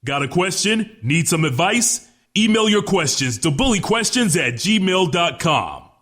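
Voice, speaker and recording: A man with a high voice announces a podcast segment from a script. His delivery is punchy and promotional, swinging widely in pitch. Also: sing-song, measured pace, a bit bright. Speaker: show announcer.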